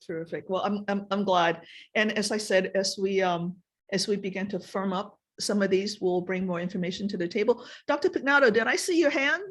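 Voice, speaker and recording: Woman, committee chair, mid-pitched, hesitant, self-repeating. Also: brisk. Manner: hesitant, repeats words while thinking. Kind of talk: chairing a public meeting.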